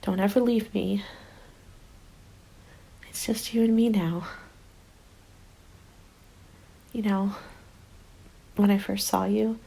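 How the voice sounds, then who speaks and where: Hushed woman acting a haunted apocalypse survivor, half-whispered. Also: medium pitch, full character voice, low-quality recording. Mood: tender, unsettled. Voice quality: soft, breathy whispering. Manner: hushed, intimate, deliberate. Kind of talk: ASMR roleplay, dramatic monologue. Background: background music.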